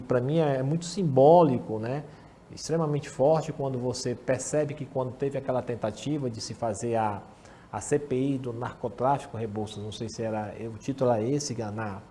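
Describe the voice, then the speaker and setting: A man's voice: medium pitch, warm tone, a little hiss or hum. A man, a professor giving emphatic political commentary on a talk show, often repeating words as he builds his point.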